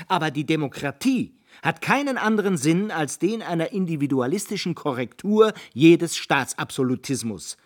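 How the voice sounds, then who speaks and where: An actor playing an eloquent Italian humanist idealist, courteous yet theatrically rhetorical, in a radio drama. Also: male voice, fairly high pitch, very animated, normal speed, few pauses. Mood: indignant, impassioned. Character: high-minded humanist Italian gentleman, eloquent idealist debater. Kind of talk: German radio drama acting.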